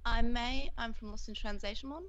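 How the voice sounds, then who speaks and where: A woman's voice: on the high side, steady tone, a little fast, a bit bright. A woman co-hosting a livestream podcast, chatting casually over a voice call. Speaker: podcast co-host. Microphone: remote voice call microphone. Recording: dull, lo-fi voice call.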